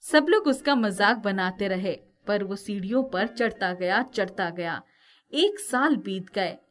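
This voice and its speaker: A woman's voice: mid-range voice, animated, a little slow. A woman narrating a scripted moral tale as the storyteller.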